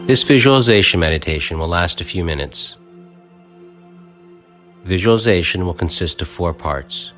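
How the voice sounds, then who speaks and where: A man leading a guided meditation, giving calm, soft instructions and counting breaths with long pauses. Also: low voice. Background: faint new-age music.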